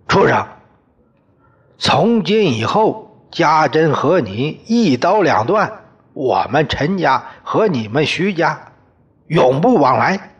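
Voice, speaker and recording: A man voicing a domineering, furious old father-in-law who shouts orders and scolds, with a husky voice. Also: a little high, sing-song, slow, voice pushed up, exaggerated voice. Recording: muffled lo-fi recording.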